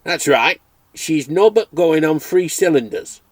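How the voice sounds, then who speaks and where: A man doing a plump, eager farmer, pitched above his own voice. He talks loudly and heartily, with a husky edge to the voice. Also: animated, measured pace, no room sound. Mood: hearty. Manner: broad rural dialect, hearty and loud.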